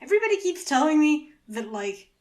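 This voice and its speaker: A woman's voice: high voice, sing-song, fairly quick, a little mellow. A woman in her mid twenties chatting casually as a podcast co-host. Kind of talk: casual chat.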